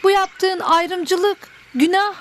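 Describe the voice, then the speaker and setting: A woman's voice: very high-pitched, fairly even intonation, extremely slow, slight background noise, exaggerated voice. A woman voicing an earnest, polite schoolchild in an educational cartoon. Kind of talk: scripted educational cartoon dialogue.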